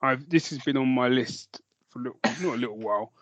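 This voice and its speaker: A man with a British accent co-hosting a podcast, chatting casually in a rambling, halting way that often backtracks. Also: mid-range voice, fairly bright tone, no echo, lo-fi sound. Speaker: podcast co-host. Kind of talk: casual chat about films and comics.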